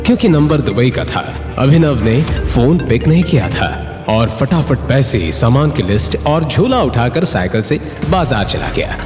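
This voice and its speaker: A man giving dramatic, scripted narration of an audio story. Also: on the high side. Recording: reverberant echo with music bed. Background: music.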